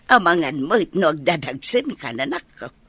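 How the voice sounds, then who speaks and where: A woman's voice: low-pitched, sing-song, measured pace, sharp tone, husky, exaggerated voice. An actress playing a fussing, good-humored mother about to be a grandmother, with an Ilocano Filipino accent in radio drama style. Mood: concerned, coaxing. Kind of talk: radio comedy-drama acting.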